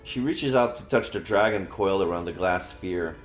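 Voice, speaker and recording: A man streaming a read-aloud of a visual novel, narrating in an expressive storytelling style. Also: deep voice, normal speed. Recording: roomy sound, faint background music.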